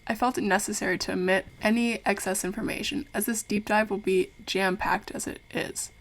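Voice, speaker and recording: An actress playing a young, curious documentary maker who is polite, wry and playful, in an audio drama. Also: female voice, medium pitch, fairly even intonation, fairly quick, lightly acted. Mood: wry, confiding. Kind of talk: audio drama acting with narration.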